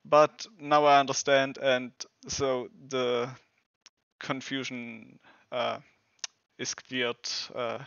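A man's voice: medium pitch, creaky, a bit bright, no echo. A young man in his twenties, a native German speaker, chatting casually on a headset mic in a small room at home. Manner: rambling, self-correcting, lots of fillers. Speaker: reaction video host. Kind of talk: casual reaction talk. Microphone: gaming headset mic. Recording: dull lo-fi headset audio.

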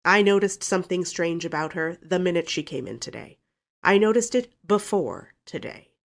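A woman voicing a blustering, scornful older uncle in a storybook, with a gruff, snorting, emphatic delivery. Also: lowish voice, lively intonation, moderate pace. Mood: angry, blustering. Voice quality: gruff, growling.

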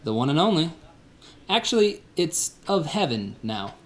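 A man acting in a church radio play, calm and gently teasing. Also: on the high side, measured pace.

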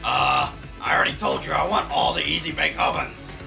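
A man's voice: medium pitch, animated, moderate pace, creaky, bright, forceful tone, faint music behind, full character voice. A man voicing a goofy, offhand, scheming cartoon villain with a drawling delivery in a comedic fan dub. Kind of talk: comedic fan dub of video game cutscenes.

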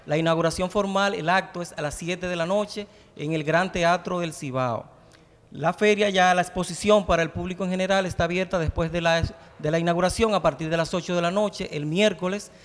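A man's voice: sits high, brisk. A Dominican man in his forties, an event organizer, speaking energetically and projecting as a TV guest into a handheld mic. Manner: energetic, projecting, listing details. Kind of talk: formal guest interview. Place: TV studio set. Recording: studio with long reverb.

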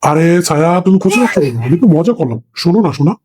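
Guy doing a crude older cartoon dad, randy type, raspy n talks fast. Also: a little high, expressive, a little mellow. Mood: coaxing. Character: lecherous foul-mouthed older father, besotted with young girlfriend. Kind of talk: comic cartoon dialogue in colloquial Bengali. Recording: music behind the voice.

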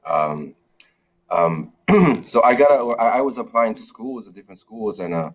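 A man's voice: on the low side, sing-song, unhurried, slightly rough, poor audio. A man, a startup founder on a video call, talking hesitantly with many fillers and restarts. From China. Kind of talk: interview over video call. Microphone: video call, webcam.

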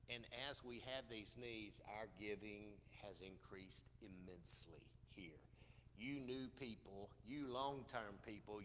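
A Southern pastor in his forties gives announcements from the pulpit, folksy and conversational, gesturing as he talks. His voice is bright and forceful, and it rings out in a long church reverb over a faint room hum. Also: medium pitch. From Mississippi. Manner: folksy, chatty, asks the congregation questions. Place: church sanctuary with congregation. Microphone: pulpit microphone.

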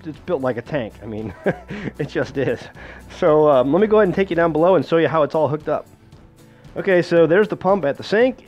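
A man's voice: mid-range voice, muffled recording. A man giving a casual how-to demonstration in a plain-spoken, reassuring, repetitive way. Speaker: homesteading host demonstrating a pump. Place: home kitchen.

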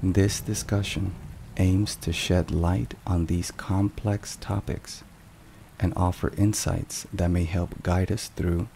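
A man giving a scripted, solemn ASMR devotional narration in a soft, measured and deliberate way. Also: low-pitched, creaky. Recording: steady rain and thunderstorm noise. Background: rain and thunder.

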